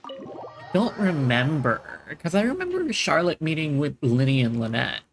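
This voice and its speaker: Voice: medium pitch, sing-song, moderate pace, slight background noise. A streamer giving casual game commentary, chatty and musing aloud, often trailing off. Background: faint game music.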